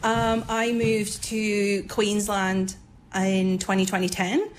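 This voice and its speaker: A woman's voice: mid-range voice, flat delivery, extremely slow, slight background noise, rough recording. A woman in her thirties with a Scottish accent, speaking informally in an interview as a police forensic officer. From Scotland. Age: 30s.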